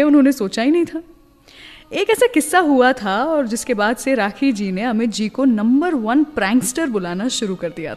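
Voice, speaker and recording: A woman's voice: fairly high pitch, darkish tone, long reverb tail. A woman hosting a radio show, telling anecdotes in a chatty, confiding way and slipping English words into her talk. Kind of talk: scripted radio storytelling.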